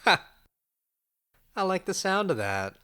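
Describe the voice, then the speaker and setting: A sardonic, hot-tempered character in an occult audio drama. The voice is bright and very lively in pitch, taking its time with blunt, teasing lines. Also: slow pace, clean tone, full character voice. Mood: impressed, fond. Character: hot-headed, sardonic, foul-mouthed lover of an occultist.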